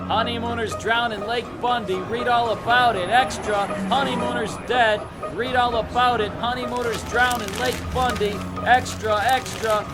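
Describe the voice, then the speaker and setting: An actress playing a street newspaper seller in a radio drama, calling out headlines in drawn-out cries. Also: female voice, fairly high pitch, steady tone, loud music behind the voice, close to an everyday voice. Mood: urgent hawking.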